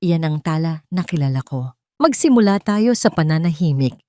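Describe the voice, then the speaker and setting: A woman voicing a calm, protective male lawyer in an audio drama, measured, formal and earnest. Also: low-pitched, no echo, clean studio sound. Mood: calm, reassuring. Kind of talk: Tagalog audio drama dialogue.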